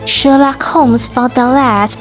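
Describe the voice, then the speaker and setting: A woman tells the story of a radio drama from a script. Her voice is on the high side. Music is clearly present underneath her narration. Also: steady tone, slow pace.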